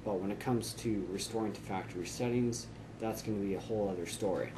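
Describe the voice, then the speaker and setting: Man, 40s, low voice, casual how-to talk, small office, camera mic, steady background hiss. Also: fairly quick. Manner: relaxed, conversational, frequent "uh" fillers. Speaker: tech tips host.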